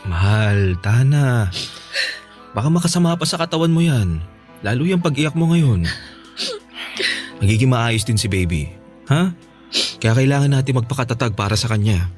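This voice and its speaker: A man acting as a gentle, devoted young husband in a Filipino radio drama, speaking in a soothing, coaxing way. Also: mid-range voice, expressive, moderate pace, long pauses, soft background music, natural voice.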